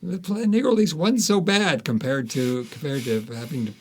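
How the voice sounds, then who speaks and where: A man speaking as an interviewed author, telling stories conversationally with animated retellings and quoted asides. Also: on the high side, normal speed.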